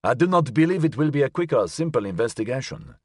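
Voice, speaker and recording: A man voicing an elderly, courteous Frenchman who speaks in a formal, precise, measured way in an audiobook. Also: medium pitch, animated, no room sound, clean studio sound, pitched above the performer's own voice, natural voice. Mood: grave, precise. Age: older. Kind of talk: audiobook character acting.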